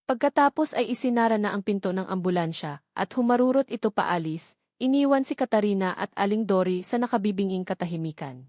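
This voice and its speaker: A woman narrating a scripted drama in measured, dramatic short phrases. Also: medium pitch, lively intonation, darkish tone, dry recording, studio quality.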